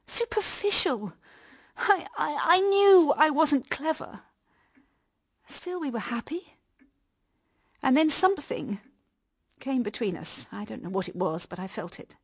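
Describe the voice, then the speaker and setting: An actress playing a pleading, proud young British wife in a period radio drama, speaking with emotional, halting delivery. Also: female voice, high voice, full character voice. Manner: emotional, halting, stammering under strain.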